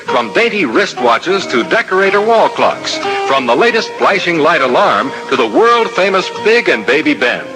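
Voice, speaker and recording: A male announcer in an old radio commercial. He is polished and animated, a touch high in pitch, with music behind. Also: moderate pace, few pauses, poor audio. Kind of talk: polished 1960s radio ad read.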